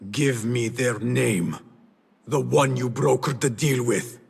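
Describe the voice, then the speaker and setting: An actor voicing a young male assassin in a video game, righteous yet sly and smooth-talking. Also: medium pitch, takes it slowly, exaggerated voice. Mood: stern, accusing. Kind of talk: video game cutscene acting. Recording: reverberant hall.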